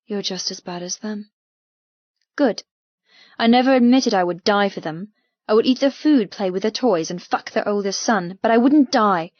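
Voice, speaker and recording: A woman with a mid-pitched voice reads first-person fiction as an audiobook narrator. Her delivery is dramatic and intense. The recording is very dry and a little dull. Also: no room sound.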